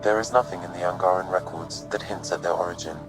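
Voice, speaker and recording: Game AI companion, played by a man: low-pitched, impassive, precise, formal delivery, music behind. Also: steady tone, quick pace, natural voice, rough recording. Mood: calm, analytical. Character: calm analytical male artificial intelligence assistant. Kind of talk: scripted game dialogue, formal analysis.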